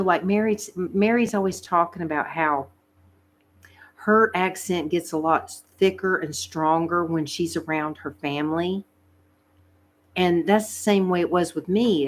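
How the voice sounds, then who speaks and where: A middle-aged woman with a Southern US accent, chatting casually and laughing often as an ASMR host. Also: lowish voice. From Southern United States. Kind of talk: casual livestream chat.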